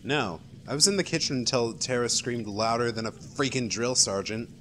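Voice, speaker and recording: A man's voice: mid-range voice, normal speed, fairly bright tone, lightly acted. A man playing a nervous, defensive young witness in an amateur radio play. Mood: defensive, flustered. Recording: faint noise, rain behind.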